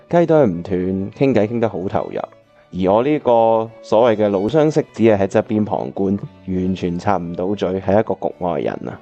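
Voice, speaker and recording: 男演員演繹聲音低沉、音色偏暗嘅廣東話深情單身男人. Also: male voice, on the low side, mellow, highs cut off. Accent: Hong Kong Cantonese. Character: earnest, reflective bachelor looking back on old love. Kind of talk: Cantonese radio drama narration and dialogue.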